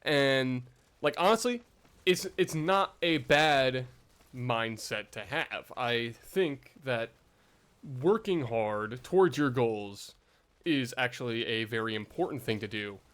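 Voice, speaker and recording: A man's voice: medium pitch, animated, slow, a bit bright, clear, resonant tone. A young man vlogging in a casual, rambling way, full of fillers and self-deprecating asides.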